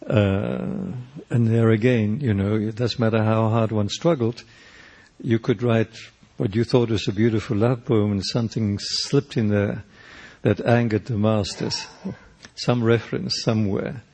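Older South African man, husky low voice. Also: steady tone, darkish tone. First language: Afrikaans. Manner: thoughtful, halting, many restarts and fillers. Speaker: poet and painter, interview guest. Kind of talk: reflective interview answers.

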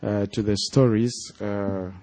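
A man's voice: on the low side, steady tone, slow, darkish tone. A man with a Nigerian accent, an author speaking and reading aloud to an audience at a live event. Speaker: author reading from his own story at an event.

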